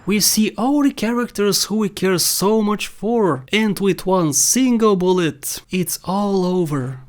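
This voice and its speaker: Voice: mid-range voice, unhurried. A video host reading scripted commentary over game cutscenes as a voice-over. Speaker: video host, narrator of a countdown.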